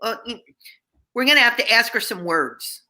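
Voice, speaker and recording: A woman's voice: on the high side, lively intonation, normal speed, pushed and bright. A woman with a New Jersey accent, co-hosting a casual chat and joking back at her partner. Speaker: co-host.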